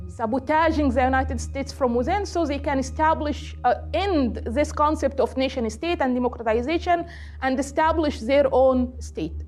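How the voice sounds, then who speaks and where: Female speaker, Egyptian accent, native Arabic, high register, earnest activist addressing a forum in measured phrases, light music underneath. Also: darkish tone. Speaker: political activist and guest speaker. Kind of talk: public talk on politics, speaking off the cuff.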